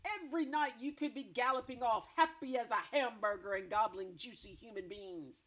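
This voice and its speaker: Woman doing a booming gruff bully giant, loud, greedy, brutish. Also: normal speed. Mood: furious.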